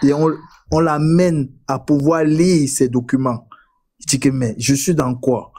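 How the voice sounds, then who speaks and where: Cameroonian man, mellow low-toned voice, casual live-stream debater who stumbles on sentence starts. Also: fairly even intonation, unhurried. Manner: repeats words when starting sentences. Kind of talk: casual online debate chat.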